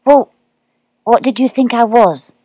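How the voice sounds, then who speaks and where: A woman's voice: a little high, unhurried, warm tone, slightly rough, no echo, pitched above the performer's own voice. A woman doing Plop, an eager baby owl. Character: small eager baby barn owl boy. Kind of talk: storybook reading.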